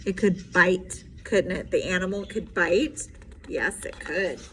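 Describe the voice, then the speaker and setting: A woman teacher reading a storybook aloud with simple, emphatic explanations and an expressive delivery. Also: lowish voice, takes it slowly, a little hiss or hum.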